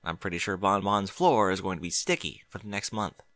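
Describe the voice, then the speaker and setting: A man's voice: low-pitched, brisk, a bit bright, no echo. A man voicing a fretful, bookish young pony mare, anxious and easily flustered. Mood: weary.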